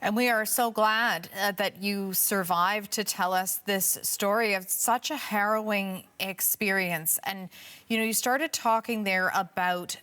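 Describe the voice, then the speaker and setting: A woman's voice: mid-range voice, steady tone, unhurried, bright, forceful tone, clean tone. Canadian woman news anchor interviewing. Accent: Canadian English.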